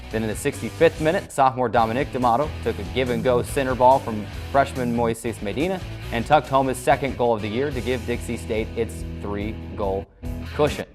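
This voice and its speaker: Male sports host, mid-pitched voice, formal broadcast recap read over music. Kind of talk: scripted sports recap narration.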